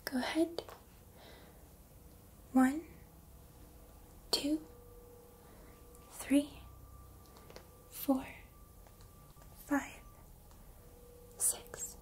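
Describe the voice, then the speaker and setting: Soft, slow, high-voiced robot woman instructor, acted. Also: fairly even intonation, whispered. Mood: calm, instructive. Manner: measured, precise, instructional. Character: female combat robot trainer, calm, exacting, formal. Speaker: combat instructor. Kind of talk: soft-spoken ASMR roleplay, often whispered.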